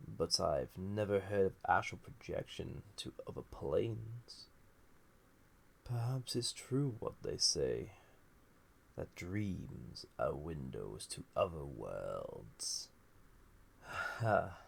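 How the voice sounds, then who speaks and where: A man playing an ancient banished villain, a magical being, in an intimate audio roleplay, musing theatrically with a gravelly, husky, breathy voice. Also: low-pitched, heavily acted. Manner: musing, theatrical, drifting into breathy near-whispers. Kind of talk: scripted audio roleplay, intimate monologue to listener.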